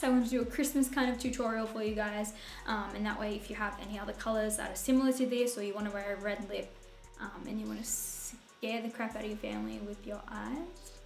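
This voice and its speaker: A young woman with a husky voice talks through a makeup tutorial in a breezy, rambling way, poking fun at herself. Her voice sits at an ordinary middle pitch. The small room around her adds a roomy echo, with faint music running underneath. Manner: bubbly, rambling, self-mocking with laughs. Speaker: beauty vlogger. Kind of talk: casual chatty makeup tutorial.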